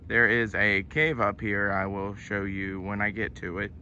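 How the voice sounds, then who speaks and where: A man's voice: lowish voice, steady tone, unhurried, bright, forceful tone, highs cut off, a little hiss or hum. A young man with a West Virginian accent, narrating a casual hiking vlog outdoors on a wooded trail, easygoing and chatty. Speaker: vlogger.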